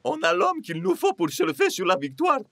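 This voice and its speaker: A man's voice: high voice, huge pitch swings, normal speed, pushed and bright, dry recording, heavily acted. A man voicing an easygoing, reassuring Rasta footballer in a French cartoon dub.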